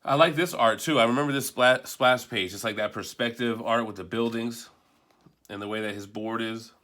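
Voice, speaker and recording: A man's voice: mid-range voice, moderate pace, sharp tone. A man giving an offhand, rambling, casual video review as a comic book collector, unscripted and mildly profane.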